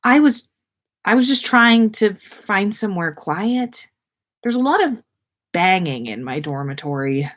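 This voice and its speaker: A shy, kindly schoolboy voiced by a woman reading a story, who speaks haltingly with a touch of bashful gruffness. He is sheepish and friendly, like a character from a read-aloud tale. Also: medium pitch, lively intonation, fairly bright tone. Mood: gruff, uneasy. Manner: hesitant, halting.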